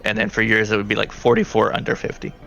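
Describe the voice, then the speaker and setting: A man with a creaky, fry-edged voice runs a tabletop role-playing game. He narrates in a casual, conversational way, improvising as he goes, with music playing behind him. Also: on the low side, little pitch movement, fast talker, a bit bright, muffled recording. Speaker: game master.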